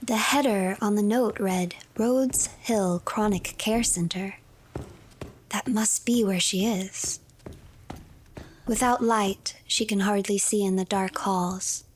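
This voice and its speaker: A woman narrating a story game in a hushed, breathy storytelling manner, as if reading a tale aloud. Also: medium pitch, slow pace, a little hiss or hum, rough recording.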